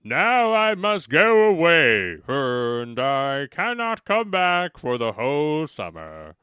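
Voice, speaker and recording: A man voicing a grave talking bear from a storybook, declaiming loudly in slow, drawn-out phrases. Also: on the high side, huge pitch swings, smooth, dry recording, clean studio sound, voice pushed up, heavily acted. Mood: solemn, booming.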